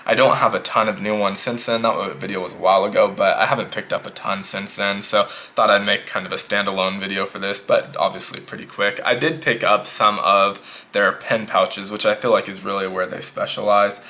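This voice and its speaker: A man casually reviewing a notebook pouch in a relaxed, rambling way, a little sick and slightly hoarse. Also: on the low side, fairly quick, slight background noise. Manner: relaxed, rambling, repeats himself. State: losing his voice, a bit sick. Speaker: EDC gear reviewer.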